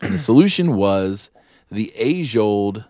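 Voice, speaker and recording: A man's voice: fairly low pitch, animated, slow pace, warm tone, dry recording, clean studio sound. A man hosting a podcast in a casual, conversational style. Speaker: podcast host. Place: dry podcast studio with a booth.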